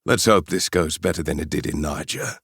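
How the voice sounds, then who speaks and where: A man voicing a gruff, blunt South African mercenary leader in his late forties, with a South African accent and a gravelly voice. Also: a bass voice, a little fast, a bit bright, dry recording, studio quality, full character voice. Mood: sour. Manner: blunt, surly.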